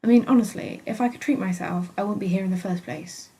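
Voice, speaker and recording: A woman's voice: medium pitch, fast talker, dark tone, a little hiss or hum, close to an everyday voice. A British woman voicing a petulant, sarcastic young female hospital patient in a dramatic first-person story reading, exasperated and huffy. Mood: bored, irritated.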